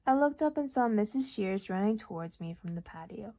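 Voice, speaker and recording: A young woman whose first language is Urdu reads a first-person novel aloud at a middling pitch. Her delivery is calm and measured. At times it sinks into soft, near-whispered passages. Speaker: audiobook reader. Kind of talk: audiobook narration.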